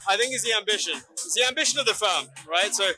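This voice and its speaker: Brief: a man as calm exec, high and very bright, pausing often. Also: soft background music, poor audio. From Sri Lanka, raised in London. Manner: calm, measured, frequent "um"s. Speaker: regional head of a firm. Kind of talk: casual interview answers.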